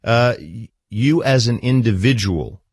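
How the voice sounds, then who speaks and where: A man with a mid-pitched voice leads a probing, therapy-style conversation. He is insistent, pressing his points and often cutting in. The sound is dry, with little room on it. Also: expressive, unhurried, breathy edge, no echo. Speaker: therapist interviewer.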